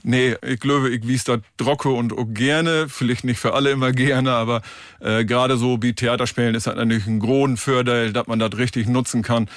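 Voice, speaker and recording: A man's voice: medium pitch, fairly even intonation, normal speed, dry recording. Radio guest, middle-aged man from emsland, easygoing and friendly, dry close mic. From Emsland, northern Germany. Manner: friendly, plain-spoken, with little laughs. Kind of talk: casual radio interview. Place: radio studio. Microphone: studio microphone.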